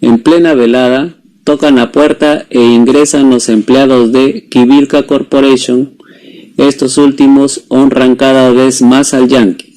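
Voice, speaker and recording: A man's voice: medium pitch, darkish tone, rough recording. A young man, a student presenter, reading a scripted story summary as narrator. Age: young adult.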